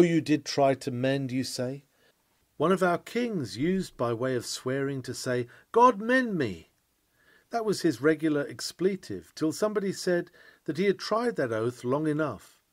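Male sermon reader, fairly high-pitched, emphatic and earnest, old-fashioned dramatic delivery, close dry sound. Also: darkish tone, no echo.

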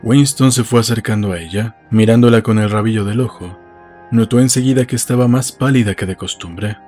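A man's voice: lowish voice, brisk, a little mellow. A man narrating a novel as a scripted literary audiobook. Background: faint music.

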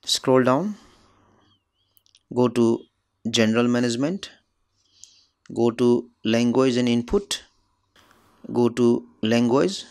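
A man's voice: medium pitch, slow pace, long pauses, darkish tone. A man whose native language is Nepali, hosting his channel and explaining phone settings step by step in plain instructional narration. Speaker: tech tutorial channel host.

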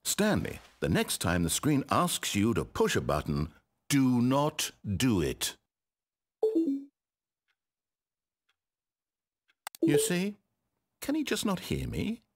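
A man's voice: medium pitch, huge pitch swings, measured pace, heavily acted. A man with a British accent playing a wry, condescending, controlling narrator in a game, eloquent and theatrical. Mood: desperate, pleading. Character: wry, exasperated, controlling male storyteller narrator. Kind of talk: theatrical scripted storybook narration.

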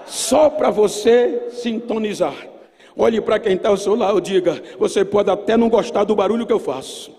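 A man's voice: high-pitched, moderate pace, dark tone. An older Brazilian man in his sixties preaching an emphatic, oratorical sermon at a podium microphone to a large congregation. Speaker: pastor preaching at a youth Bible seminar. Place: large church auditorium. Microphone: microphone on a podium stand. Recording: long hall reverb from PA system.

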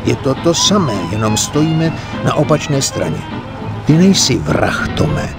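An actor playing an older, fatherly mafia adviser in a game cutscene, giving measured, stern counsel. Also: medium pitch, big pitch swings, creaky. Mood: admonishing, earnest. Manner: measured, admonishing. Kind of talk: dramatic game cutscene dialogue. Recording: prominent music under the voice.

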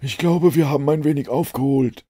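A man voicing a worried, doubtful police detective in a gravelly audiobook reading. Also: medium pitch, brisk, warm tone, pitched above the performer's own voice, natural voice. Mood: cautiously hopeful.

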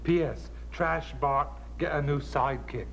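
Highish, slow punk sidekick. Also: male voice, vocal fry, fairly bright tone, a little hiss or hum. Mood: agreeable, dopey. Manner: echoes his partner, simple-worded. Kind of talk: children's TV comedy sketch.

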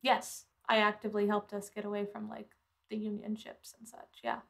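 A woman's voice: medium pitch, completely flat delivery, moderate pace, creaky. A woman playing a tabletop role-playing game, answering in casual in-game conversation.

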